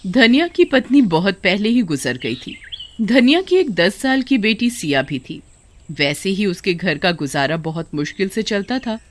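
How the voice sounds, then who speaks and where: A woman narrating a scripted moral story as its storyteller. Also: medium pitch.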